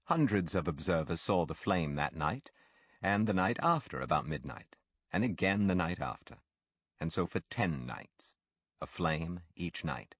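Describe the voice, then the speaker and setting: A man reading a classic novel as an audiobook narrator, with a measured, expressive storytelling delivery. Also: deep voice, dry recording.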